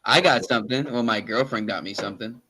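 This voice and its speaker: A man's voice: on the low side, quick pace, sharp tone, slight background noise, low-quality recording. A man from Rhode Island with an American accent, chatting casually and swearing as co-host of a fan show. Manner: slangy, sweary. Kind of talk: casual chat.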